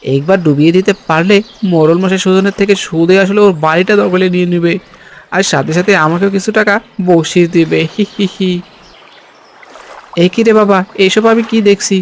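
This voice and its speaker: A man voicing a sneaky, excitable henchman who fawns on his village boss in a cartoon. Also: high-pitched, fairly quick. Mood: flustered, eager. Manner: obsequious, excitable. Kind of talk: Bengali cartoon voice acting.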